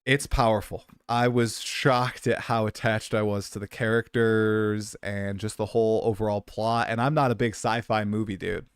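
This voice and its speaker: A man's voice: fairly low pitch, flat delivery, moderate pace, few pauses, smooth, no echo, clean studio sound. A man in his late twenties hosting a casual livestream, chatty and self-deprecating, coming down with a cold. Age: 20s. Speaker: streamer host.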